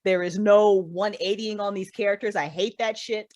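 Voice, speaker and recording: A woman's voice: mid-range voice, steady tone, normal speed, a little mellow. A woman chatting casually as a podcast guest, effusive and swearing freely as she talks about her creative work. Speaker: guest, writer and creator of an audio drama.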